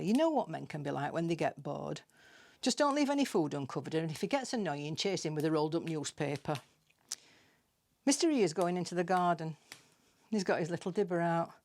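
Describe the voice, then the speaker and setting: Low-pitched British woman telling comic tales. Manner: dry, conversational, confiding. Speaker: storyteller reading own comic tales. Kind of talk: scripted comic monologue, chatty gossip.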